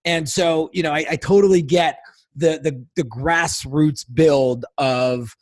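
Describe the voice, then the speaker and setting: A man's voice: fairly high pitch, steady tone, takes it slowly, darkish tone, no echo. A man hosting a casual podcast interview, enthusiastic and prone to repeating himself, with the odd swear. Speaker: podcast host.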